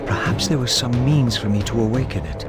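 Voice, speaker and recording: An actor playing a brooding undead wraith, narrating a video game in formal, solemn, archaic language. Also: male voice, mid-range voice, expressive, a little fast, over loud music, lightly acted. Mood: brooding, resolute. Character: brooding undead wraith, eloquent male antihero seeking his fate. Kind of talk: theatrical scripted game narration.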